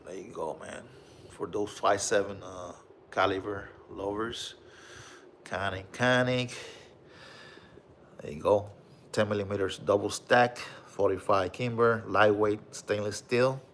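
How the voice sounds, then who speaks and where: Deep puerto rican guy chatting on a livestream, laid back, says bro a lot, faint hum behind him. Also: unhurried. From Puerto Rico. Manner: relaxed, repeats "bro" and "okay?", many fillers. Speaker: livestream host showing his gun collection. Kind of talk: casual livestream chat reading viewer comments.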